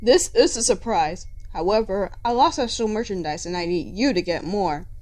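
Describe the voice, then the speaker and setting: A woman's voice: mid-range voice, expressive, moderate pace, faint music behind, lightly acted. A woman playing a cheerfully menacing male robot villain who taunts with false friendliness in a story reading. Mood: mocking. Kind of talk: amateur dramatic fan fiction reading.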